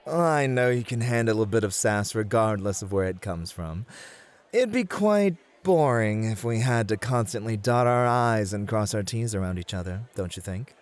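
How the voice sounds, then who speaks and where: A man playing a lively, sassy bunny-boy host. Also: on the low side, moderate pace, close to an everyday voice. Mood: sassy, teasing.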